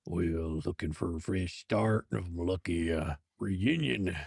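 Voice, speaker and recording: A man playing a cocky, flirtatious chef in a romance drama, with a smooth, teasing drawl. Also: low-pitched, unhurried, a little mellow, dry recording, clean studio sound, heavily acted. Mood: cocky, wolfish. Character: Liam, cocky flirtatious male chef, arrogant and mischievous.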